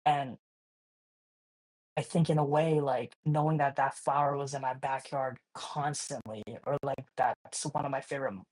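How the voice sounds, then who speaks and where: A man's voice: mid-range voice, steady tone, normal speed, darkish tone, low-quality recording. A young man from Hawaii, a guest artist in a casual interview, talking in a laid-back, slangy way full of "like" and "you know".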